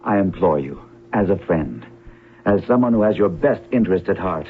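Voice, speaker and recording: An actor playing an earnest, probing, worried family friend in a 1970s radio drama. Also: male voice, sits low, expressive, normal speed. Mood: worried, pleading. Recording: tinny, noisy old radio recording with hum.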